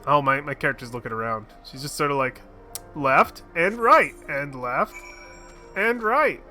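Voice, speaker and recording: A man's voice: on the high side, bright, forceful tone. A man streaming a game, chatting casually with goofy, laughing asides. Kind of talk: casual gameplay commentary.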